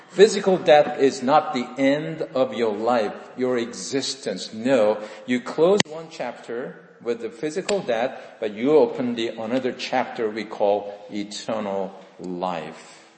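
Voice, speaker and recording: A man from South Korea with a Korean accent, preaching a sermon in earnest, rising for stress and dropping low at the end of phrases. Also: darkish tone, slight background noise. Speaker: pastor. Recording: echoey hall with long reverb.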